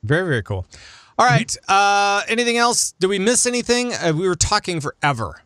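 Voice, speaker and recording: A man hosting a casual gaming podcast, chatting in an animated, jokey way and often interrupting himself. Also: sits high, fairly quick, clean tone, no room sound. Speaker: podcast host.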